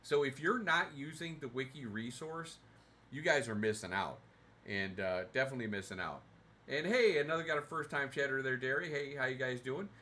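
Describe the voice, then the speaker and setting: Bright-voiced man chatting on a game stream. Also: mid-range voice, fairly quick. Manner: relaxed, rambling, friendly, many fillers and restarts. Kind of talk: casual livestream chat, answering viewer comments. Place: home, sitting in a recliner. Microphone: desk mic, sometimes too far from it.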